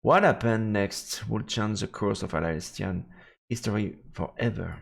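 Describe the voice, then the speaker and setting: A man's voice: lowish voice, measured pace, vocal fry. A man streaming a visual novel, reading the narration aloud and adding casual reactions.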